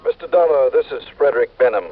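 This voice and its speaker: Formal insurance exec, quick polite talker, thin phone line sound, old radio. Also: male voice, medium pitch. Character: formal, businesslike male insurance company official. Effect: telephone filter. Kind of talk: 1950s-60s radio acting.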